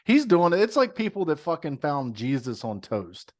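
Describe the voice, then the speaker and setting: A man's voice: fairly high pitch, big pitch swings, normal speed. A man co-hosting a podcast in casual chat, ranting with profanity and disbelief. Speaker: podcast co-host.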